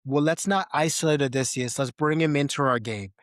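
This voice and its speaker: A man's voice: on the high side, fairly quick, fairly bright tone, dry recording. Casual man hosting a podcast, close dry sound. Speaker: podcast host. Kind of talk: casual film discussion.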